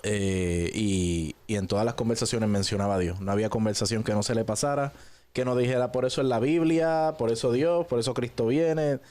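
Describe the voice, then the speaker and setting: A man from Puerto Rico with a Puerto Rican accent, telling his story in a relaxed, conversational way with frequent hesitant pauses. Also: mid-range voice. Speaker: guest giving a personal testimony.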